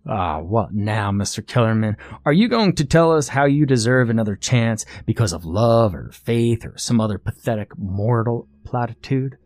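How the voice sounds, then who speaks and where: A man's voice: on the low side, animated, normal speed, few pauses, darkish tone, no echo, lightly acted. A man voicing a spiteful, lawyerly devilish master of a contest in a storybook, formal and condescending in manner. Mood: sarcastic, bored.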